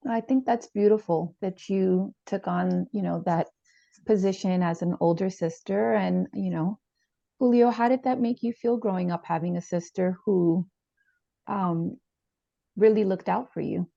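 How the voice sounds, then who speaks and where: An actress playing a therapist, asking measured, gentle questions. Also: female voice, medium pitch, creaky, dry recording, muffled recording. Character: calm, probing female therapist. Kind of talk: improvised dramatized therapy session.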